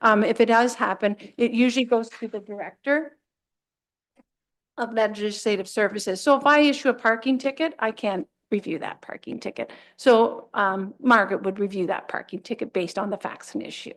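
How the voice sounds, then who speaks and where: A woman's voice: on the high side, normal speed, fairly bright tone. A woman who manages municipal enforcement, explaining her role plainly at a council meeting.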